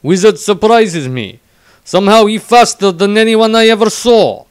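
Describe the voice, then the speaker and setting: A man's voice: high-pitched, moderate pace, clean tone, pitched above the performer's own voice, lightly acted. A man voicing a blunt, wryly amused warrior who reads his diary in clipped, broken speech. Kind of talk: character narration in a fantasy audio story.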